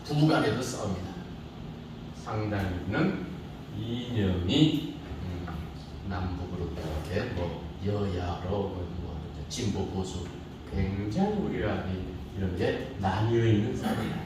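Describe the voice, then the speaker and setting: A man's voice: deep voice, slow, background noise. A middle-aged man teaching a speech class in a classroom, lecturing casually and teasing the students with rhetorical questions. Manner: informal banter with students. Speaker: speech instructor. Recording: echoey room from far off.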